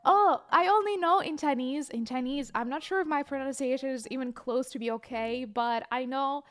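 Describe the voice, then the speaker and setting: A young woman, a livestreamer chatting casually and reading viewer comments aloud, excitable and hesitant in her English. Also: high-pitched, normal speed. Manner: chatty, excitable, bursts of squeals and laughter; hesitant non-native English with many fillers.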